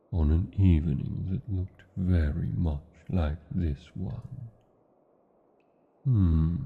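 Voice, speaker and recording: A British man playing a tender, brooding dragon lover in a romantic fantasy ASMR roleplay, speaking formally with old-fashioned endearments in a gravelly, husky voice. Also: deep voice, exaggerated voice. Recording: muffled, narrow phone-like sound.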